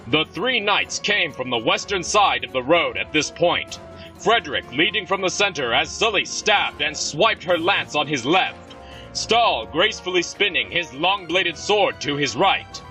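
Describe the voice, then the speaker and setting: Guy narrating fantasy audio drama, bright voice, bit far from mic. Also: fairly high pitch, lively intonation, unhurried, faint music behind.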